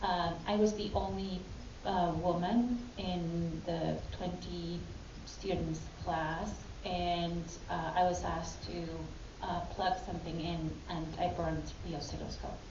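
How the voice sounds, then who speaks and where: A woman panelist telling self-deprecating stories in a halting way, with many fillers. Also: fairly low pitch, flat delivery. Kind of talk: anecdotes at a panel. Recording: echoey hall from far off, dull, noisy.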